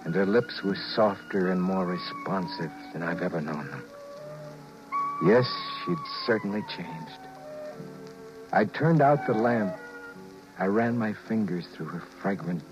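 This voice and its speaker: An actor playing a guilty, sheepish husband in a 1950s radio drama, halting and earnest as he confesses and narrates. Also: deep voice. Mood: tender. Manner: halting, earnest, stammering in dialogue. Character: guilty, sheepish husband narrating his own marital misadventure. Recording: dull 1950s radio recording.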